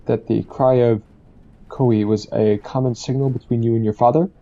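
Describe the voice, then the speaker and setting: A man's voice: medium pitch, fairly even intonation, moderate pace, warm tone, lightly acted. A man playing a stern coroner in an amateur audio drama, questioning formally and insistently on a dull recording. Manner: formal, pressing. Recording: dull, muffled lo-fi sound.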